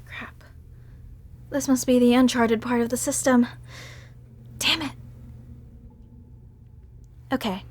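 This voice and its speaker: A woman voice actor performing a sweet, gentle character in an acted audio roleplay, talking in a bubbly, soothing way. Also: fairly high pitch, fairly even intonation, slow pace, pauses often.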